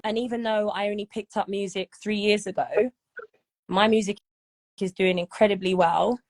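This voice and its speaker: A woman's voice: medium pitch, fairly even intonation, moderate pace, a little mellow. Singer lady in her 30s, chatty interview talk, keeps asking if you get her. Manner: chatty, often asks "do you know what I mean?". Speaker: singer and guest. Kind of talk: casual interview chat.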